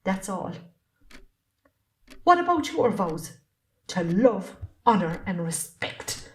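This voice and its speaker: A woman's voice: mid-range voice, sing-song, takes it slowly. Woman acting resentful Irish farmer's wife. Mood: hurt, defiant. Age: middle-aged. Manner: reproachful, pointed. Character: wronged, resentful Irish farmer's wife, standing her ground. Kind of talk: amateur stage-play acting.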